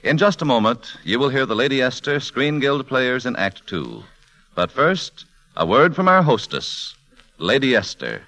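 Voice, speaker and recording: A man's voice: medium pitch, sing-song, unhurried, sharp tone. A man working as a 1940s radio announcer, formal and emphatic, on a vintage recording. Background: music.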